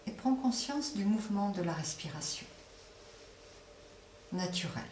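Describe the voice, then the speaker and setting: A woman leading a guided relaxation as a yoga nidra teacher, speaking softly and unhurriedly with long pauses between phrases. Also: medium pitch, animated. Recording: roomy echo, distant mic, faint noise.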